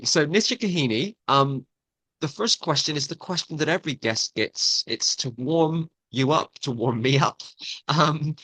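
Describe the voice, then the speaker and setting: A man's voice: mid-range voice, pushed and bright, no room sound, poor audio. A man hosting a podcast, opening the episode in an upbeat, chatty way with small restarts. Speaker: podcast host. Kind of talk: podcast introduction.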